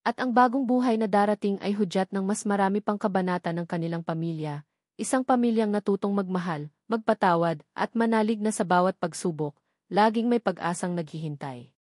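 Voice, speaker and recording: A woman's voice: mid-range voice, a little fast, darkish tone, dry recording, studio quality. A woman reads a scripted story in an earnest, sentimental, formal way. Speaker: storyteller narrator. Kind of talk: scripted dramatic story narration.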